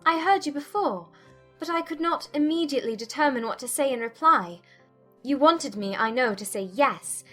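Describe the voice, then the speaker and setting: A woman voicing a witty, self-assured young Regency gentlewoman, arch and teasing, in an audiobook. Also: on the high side, pitched above the performer's own voice. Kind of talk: dramatized audiobook dialogue.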